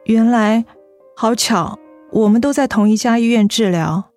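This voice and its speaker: A woman's voice: on the high side, unhurried, soft background music, natural voice. An actress playing a sweet young female office worker, polite and a little guarded, in a radio drama. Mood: quietly sincere. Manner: polite, soft-spoken, evasive.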